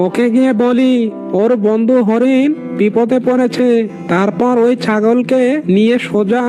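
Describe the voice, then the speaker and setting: A man's voice: very high-pitched, steady tone, a little slow, warm tone. Cartoon fox, played by a man: sly conniving trickster, menacing and deceitful, music underneath. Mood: gleeful scheming. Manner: conniving, feigns concern to trick others. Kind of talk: cartoon character voice acting.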